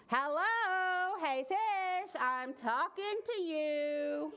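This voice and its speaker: A woman voicing a brash, indignant young boy in a picture-book read-aloud, loud and demanding. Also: very high-pitched, expressive, drawn-out words, pitched above the performer's own voice, exaggerated voice. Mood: calling out.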